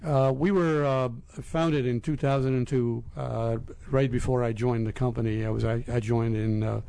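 A man in his fifties from Lebanon, answering interview questions in a halting, measured way. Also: medium pitch, steady tone, creaky, mellow, smooth. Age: 50s. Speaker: interview guest, business executive.

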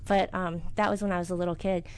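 A middle-aged woman from the United States, a business owner answering interview questions in a soft, hesitant way with many fillers. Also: fairly low pitch, little pitch movement, fast, poor audio.

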